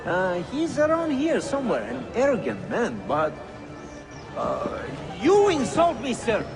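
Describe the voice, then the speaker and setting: A man's voice: extremely high pitch, wildly swooping pitch, measured pace, music in the background, heavily acted. An actor playing an effusive, theatrical Ottoman rug merchant in a video game, haggling dramatically. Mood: wheedling, indignant. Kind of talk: video game character acting.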